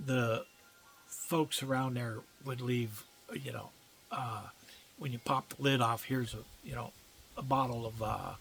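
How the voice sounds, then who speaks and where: Gravelly older man reminiscing casually. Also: medium pitch, fairly bright tone, a little hiss or hum, low-quality recording. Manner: folksy, rambling, colloquial. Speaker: storyteller recounting personal memories.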